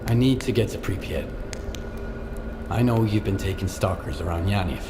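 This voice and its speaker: An actor playing a determined, calm stalker in a video game, terse and earnest in delivery. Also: male voice, lowish voice, moderate pace, natural voice. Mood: firm. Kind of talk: dramatic video game acting. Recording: noisy game scene ambience.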